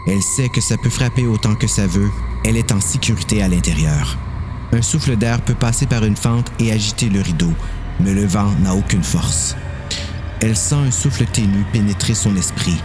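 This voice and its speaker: A Québécois man narrates a dramatized story from a script. His voice is low. Music runs clearly underneath the narration. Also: moderate pace.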